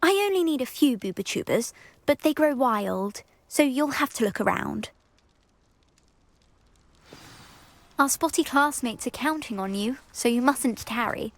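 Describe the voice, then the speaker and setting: A vain schoolgirl for a fantasy video game. She speaks in a fairly high, airy voice with smug, imperious assurance, recorded close and dry. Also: lively intonation, moderate pace, no room sound. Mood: breezy, bossy. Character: vain, self-assured schoolgirl potion maker, breezily bossy. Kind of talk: video game character dialogue.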